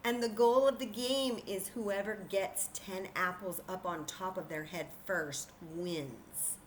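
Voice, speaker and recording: Unhurried woman teaching little kids. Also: medium pitch, slight background noise, low-quality recording. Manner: patient, encouraging, thinking aloud while counting. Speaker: children's story time teacher. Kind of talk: interactive demonstration for young children.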